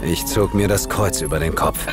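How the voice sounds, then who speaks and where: A man's voice: low voice, moderate pace, lightly acted. An actor playing a determined male investigator who narrates his own adventure in a serious, terse way. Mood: urgent narration. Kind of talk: audio drama dialogue and first-person narration. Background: loud dramatic music.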